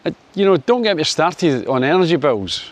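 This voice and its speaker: A man's voice: very animated, moderate pace. Chatty Scottish man in his sixties. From Scotland. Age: 60s. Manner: chatty, wry, lots of fillers, lifts his pitch for sunny Spain. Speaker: vlogger. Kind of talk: casual opinion vlog to camera.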